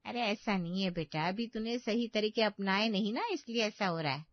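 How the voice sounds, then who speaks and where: A woman's voice: mid-range voice, normal speed, no echo, highs cut off, close to an everyday voice. A woman voicing a scheming, encouraging middle-aged mother who advises her daughter in a story. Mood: advising.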